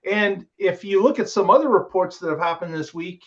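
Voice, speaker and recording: A man's voice: high voice, brisk, dull audio. A man hosting a casual podcast, talking through the week's news with many filler words. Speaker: podcast host.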